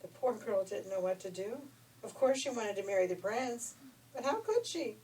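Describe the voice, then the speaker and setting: A woman tells a children's tale with a folksy, expressive delivery. Her pitch is mid-range, and she is recorded some way from the mic with clear noise behind her. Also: moderate pace. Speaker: storyteller. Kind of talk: animated children's story reading. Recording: noisy, distant room recording.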